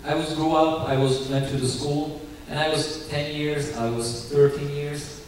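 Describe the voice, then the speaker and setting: Ukrainian guy telling his testimony at church, halting english, super echoey hall, far from the mic, bit of hiss. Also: fairly high pitch, level tone, a little mellow. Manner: earnest, halting non-native English with restarts. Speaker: guest speaker giving testimony. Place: church sanctuary.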